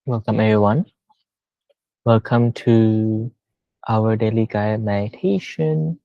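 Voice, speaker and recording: A man leading a guided meditation as a teacher, speaking gently and unhurriedly with long pauses. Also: lowish voice, steady tone, creaky, no echo, lo-fi sound.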